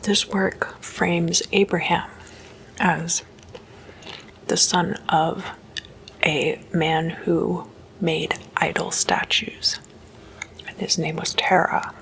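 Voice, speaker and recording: Woman, ASMR whisper, crackly low-pitched voice, hushed informal storytelling. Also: level tone, vocal fry. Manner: hushed, unhurried, informal explanatory delivery. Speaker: host of a spooky ASMR series.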